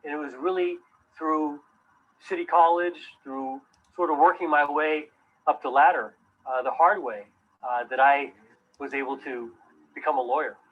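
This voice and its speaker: Husky-voiced man on muffled video audio. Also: fairly high pitch, steady tone, normal speed. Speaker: public defender. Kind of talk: recorded testimonial.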